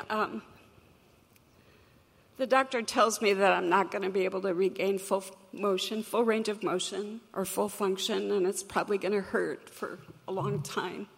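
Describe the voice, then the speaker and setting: A woman with a crackly voice, telling a personal story to an audience in an earnest, reflective, measured way. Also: medium pitch, vocal fry, fairly bright tone. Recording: long hall reverb.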